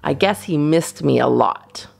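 A woman's voice: low-pitched, animated, a little slow, creaky, darkish tone, heavily acted. A woman voicing an eager, proud little kindergarten boy in a children's storybook.

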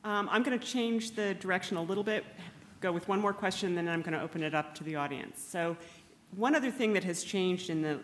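A woman's voice: lowish voice, slight background noise. An older woman moderating a panel from a lectern mic in an auditorium, casual and questioning.

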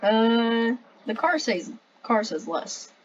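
A woman's voice: a little high, expressive. A young woman playing a game with a friend, dramatic and hesitant in playful roleplay chat. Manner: dramatic pleading, slow and hesitant. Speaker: gamer friend. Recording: slightly dull home mic with faint noise.

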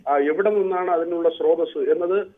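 Male reporter on tinny phone line, higher-pitched, halting live political analysis. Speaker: news reporter. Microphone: phone call.

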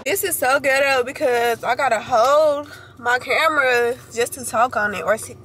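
A woman's voice: high voice, normal speed, a bit bright, slight background noise. Chatty young woman vlogging from her car. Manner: chatty, slangy, addresses viewers as y'all. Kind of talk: casual vlog chat to camera. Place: parked car and indoors at home. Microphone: handheld camera at arm's length.

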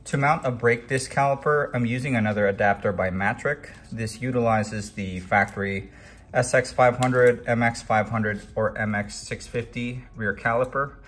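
A man's voice: mid-range voice, fairly even intonation, moderate pace, a bit bright. A man giving a plain, step-by-step voice-over as he walks through a scooter repair. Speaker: DIY scooter builder. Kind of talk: tutorial voice-over.

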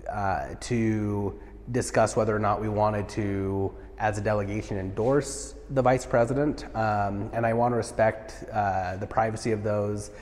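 A man's voice: fairly low pitch, fairly even intonation, measured pace, darkish tone, big-room sound. A man in his thirties, a party official, answering interview questions earnestly with many "uh" fillers, on a lapel mic in a marble stairwell. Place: large marble stairwell indoors.

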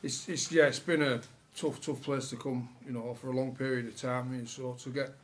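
A man, a rugby coach answering questions at a post-match press conference with relaxed, halting answers full of fillers. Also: mid-range voice, a little hiss or hum. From England. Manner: relaxed, many fillers and restarts. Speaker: rugby league head coach. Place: press room with sponsor board behind.

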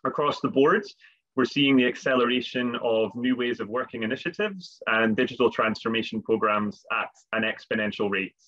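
A man's voice: medium pitch, moderate pace, fairly bright tone. A man in his thirties presenting a business webinar on a video call, often repeating words as he goes. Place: home office, video call. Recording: dull lo-fi video call audio.

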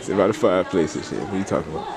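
A man's voice: deep voice, very fast, creaky, crowd noise. A nineteen-year-old man vlogging in a shopping mall, laughing and joking in casual slang as he asks strangers questions on a handheld camera. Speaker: vlogger asking prank questions. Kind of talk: casual street vlog.

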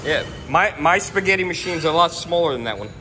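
A man's voice: fairly high pitch, animated, a little fast, crowd noise. A man in his forties hosting a food video, enthusiastically touring a restaurant kitchen and reacting to everything. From United States. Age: 40s. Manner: enthusiastic, laughs often. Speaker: food reviewer, host. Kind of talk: casual tour chat. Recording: noisy kitchen.

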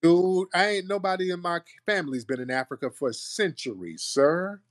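American guy running a call-in roast, cuts people off, keeps repeating himself, kinda high voice. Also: normal speed, dry recording, studio quality. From United States. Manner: blunt, interrupting, repeats questions. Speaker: radio show host.

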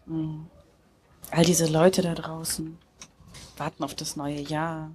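A woman's voice: sits low, flat delivery, takes it slowly, dark tone, slight background noise. A woman playing a Russian concert musician in a stage radio drama, startled and nostalgic about an old friend. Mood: reserved, modest. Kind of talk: live stage audio drama reading. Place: tented festival stage.

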